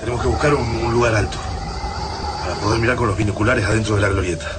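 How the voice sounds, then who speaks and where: An actor playing a troubled but resolute survivor in a radio drama, halting and tense, with an Argentine accent. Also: male voice, lowish voice, steady tone, very noisy, lightly acted. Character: Juan, troubled, resolute man surviving an invasion.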